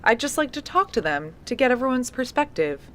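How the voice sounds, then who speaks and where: A woman's voice: fairly high pitch, very animated, fairly quick, a bit bright. An actress playing an earnest, persistent young researcher recording her findings in a horror audio drama. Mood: insistent. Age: young adult. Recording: noisy lo-fi tape field recording.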